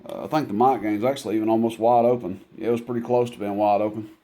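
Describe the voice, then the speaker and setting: A man's voice: on the low side, fairly even intonation, fast talker, warm tone. A man giving a casual hands-on equipment demonstration in a plainspoken, folksy, matter-of-fact way. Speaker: CB radio seller demonstrating equipment.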